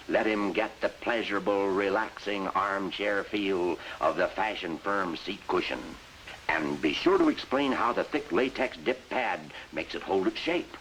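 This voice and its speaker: An actor playing a bossy, emphatic sales mentor who narrates and coaches in a 1950s industrial training film. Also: male voice, fairly low pitch, fairly bright tone, a little hiss or hum, rough recording. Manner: instructive, emphatic, salesmanlike delivery. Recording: vintage 1950s film soundtrack with music.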